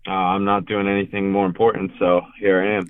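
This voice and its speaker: A young man from Richmond, Virginia, chats loosely and rambles, piling on filler words. His low voice comes through a tinny, phone-like remote line. The sound is dry and close. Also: flat delivery, brisk, smooth, dry recording. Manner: laid-back, rambling, heavy on filler words. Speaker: guest musician. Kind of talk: casual podcast interview.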